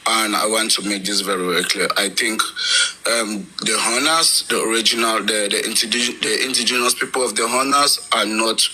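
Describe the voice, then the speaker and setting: A man with a slightly low, husky voice that comes through very sharp and forceful. He lays out an argument haltingly, repeating phrases as he goes. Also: fairly even intonation, rough recording. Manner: deliberate, hesitant, repeats phrases. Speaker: debate participant.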